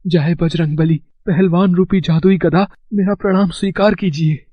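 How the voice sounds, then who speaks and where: A man's voice: high-pitched, steady tone, moderate pace, no room sound. A man voicing an earnest, devout young village lad in a cartoon moral story, speaking respectfully. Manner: pleading, respectful. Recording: dull, lo-fi sound.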